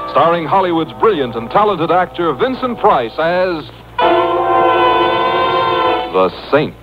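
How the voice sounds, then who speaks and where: Declamatory male 1940s radio announcer, animated, fairly high voice, loud music beneath, dull tape. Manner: grand, declamatory.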